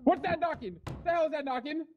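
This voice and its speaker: A man's voice: extremely high pitch, fast, dull audio. A man playing an agitated, foul-mouthed police captain in a roleplay game, blustering and repeating himself. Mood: alarmed, angry. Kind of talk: improvised online roleplay game.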